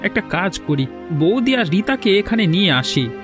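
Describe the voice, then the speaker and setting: Insistent younger cartoon villager, very high male voice, animated pitch, music behind man acting. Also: normal speed. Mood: earnest, fed up. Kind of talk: Bengali cartoon fairy-tale dubbing.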